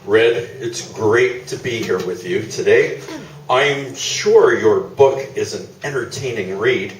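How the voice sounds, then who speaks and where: An actor as a slow, measured radio sportscaster. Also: male voice, lowish voice. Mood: courteous, probing. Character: courteous, measured male radio sports broadcaster. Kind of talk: live stage radio play. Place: small theatre, live performance. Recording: distant, echoey, faintly noisy theatre recording.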